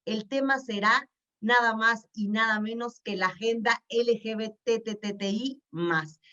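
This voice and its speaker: A woman's voice: fairly high pitch, fairly even intonation, measured pace, bright, forceful tone, recorded from a distance. A woman hosting a web TV talk show, effusive and enthusiastic. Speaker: host. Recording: muffled sound from off-mic.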